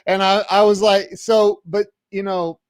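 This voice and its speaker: A middle-aged man settles into a long answer, taking his time and circling back over his words. His voice is smooth and clear, and it sounds dry and close to the mic. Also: high voice, flat delivery, a little slow, no room sound. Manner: rambling, laughs often, many restarts. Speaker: guest, entrepreneur. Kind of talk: casual interview talk.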